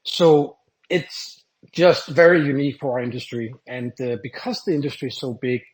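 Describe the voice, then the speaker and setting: A man's voice: mid-range voice, moderate pace, rough recording. A man speaking as a guest on an online panel, upbeat, sometimes repeating words as he goes. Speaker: panel guest, company founder. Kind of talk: online audio panel discussion.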